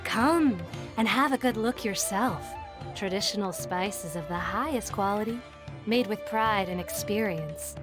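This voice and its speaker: A woman's voice: mid-range voice, a little slow, lightly acted. A woman playing a proud market spice merchant in a video game, delivering sales patter. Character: proud female spice merchant calling out wares. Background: game music.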